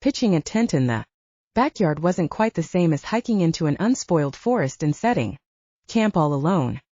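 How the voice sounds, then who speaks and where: A woman narrates an audiobook in a fairly low, mellow voice with animated, swooping intonation. The recording is dry and a little dull. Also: normal speed, no echo. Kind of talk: scripted fiction narration.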